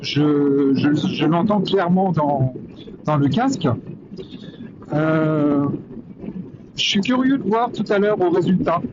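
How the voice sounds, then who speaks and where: A man's voice: fairly high pitch, takes it slowly, long pauses. A man giving a casual, hesitant commentary while riding a motorcycle, thinking aloud into a helmet intercom mic. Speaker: motovlogger reviewing gear. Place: on a motorcycle on the road. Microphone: intercom boom mic inside helmet. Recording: muffled helmet intercom mic, loud wind noise. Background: wind and vehicle noise.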